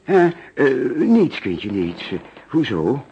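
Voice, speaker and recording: An actor playing a distracted, anxious male insurance agent in a Dutch radio play, halting and evasive as he hides his trouble. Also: mid-range voice, sing-song, gravelly, husky, heavily acted. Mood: flustered, evasive. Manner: halting, evasive, absent-minded. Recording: dull, lo-fi old radio recording.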